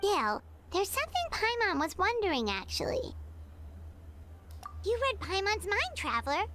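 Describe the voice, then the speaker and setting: A woman voicing a tiny, curious, chirpy fairy companion with a squeaky childlike voice in a video game. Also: very animated, normal speed, noisy background.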